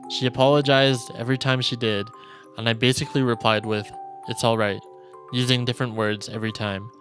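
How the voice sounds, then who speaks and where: A male streamer reading visual novel narration aloud in a solemn, deliberate storytelling manner. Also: mid-range voice, flat delivery. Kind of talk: dramatic reading of game narration. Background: game music.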